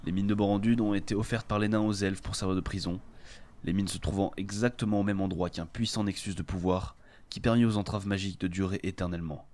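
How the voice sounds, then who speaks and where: A man's voice: low-pitched, steady tone, fast, darkish tone, natural voice. A man playing a bold, self-assured adventurer in a fantasy audio drama, speaking assertively. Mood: grave storytelling. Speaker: voice actor in an amateur audio fiction. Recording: clear background noise and ambience.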